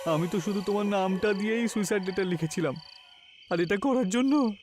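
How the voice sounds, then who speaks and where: A man voices a young male student in a horror cartoon, agitated and quick to plead or protest. The pitch sits on the high side. A loud music bed runs beneath. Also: natural voice. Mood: frightened. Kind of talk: animated horror story dialogue.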